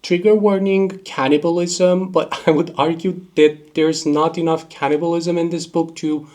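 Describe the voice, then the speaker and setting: A young man with a congested nose from a cold, giving a chatty, rambling reading wrap-up in quick bursts. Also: a little high, steady tone, a little mellow. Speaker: book reviewer. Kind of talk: casual monthly reading wrap-up.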